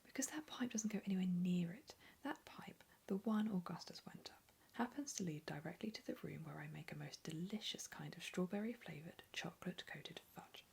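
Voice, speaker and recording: A woman in a whispered storybook reading, voicing a fussy, eccentric gentleman. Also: lowish voice, fairly quick, creaky, few pauses, husky, heavily acted. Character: fussy eccentric man, chocolate maker, reassuring.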